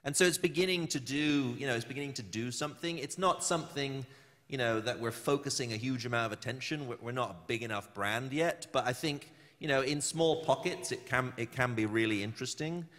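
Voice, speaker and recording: A man speaking on a conference panel in a halting way, repeating words and leaning on "you know". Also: medium pitch, fairly bright tone. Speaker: panel speaker, brand representative. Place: conference hall. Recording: long reverb of a hall.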